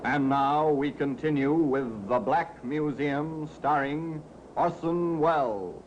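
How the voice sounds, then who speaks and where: A man announcing a 1950s radio programme in a formal, deliberate manner. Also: a little high. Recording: muffled, very noisy old radio recording.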